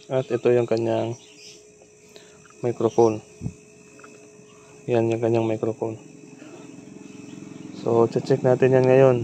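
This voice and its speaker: A Filipino man hosts a casual, improvised how-to while he works. His delivery is very flat and even, with little melody. He speaks informally and instructively, over faint background noise with insect-like chirps. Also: medium pitch. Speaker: repair tutorial host. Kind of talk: casual hands-on tutorial, improvised narration.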